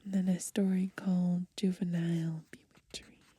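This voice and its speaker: A young woman doing soft-spoken ASMR in a gentle, unhurried, breathy whisper, recorded close to the microphone outdoors. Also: on the low side, little pitch movement, no room sound. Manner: gentle, unhurried, softly murmured. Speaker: ASMR video host. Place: outdoors on grass in light wind.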